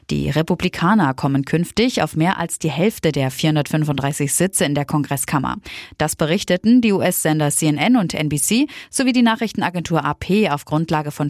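Low-voiced woman reading headlines. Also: no echo, studio quality. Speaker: news reader. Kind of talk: scripted news bulletin.

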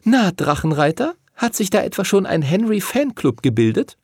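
Cheeky storybook schoolgirl, voiced by a man: teasing, knowing, pitched high with swinging intonation. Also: very animated, moderate pace, a little mellow, husky, dry recording, clean studio sound, heavily acted. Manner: teasing, mysterious.

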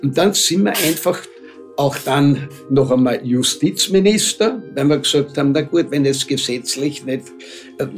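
A man, talking at length with frequent tag questions. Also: fairly high pitch. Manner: talkative, adds tag questions. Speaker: interviewee, friend of victim.

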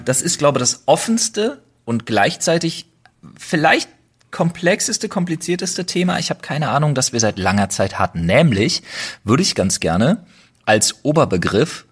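Husky-voiced young man hosting a podcast. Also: medium pitch. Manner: playful, laughs often, rambling restarts. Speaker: podcast host. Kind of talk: casual podcast chat.

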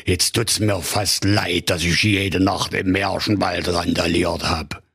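A man voicing a big, gravelly dragon in a comic storybook tale, speaking with a Saxon accent. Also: low-pitched, steady tone, slow pace, fairly bright tone, full character voice. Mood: cosy, chummy. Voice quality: gravelly, breathy. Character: huge gravelly dragon turned sweet and chummy.

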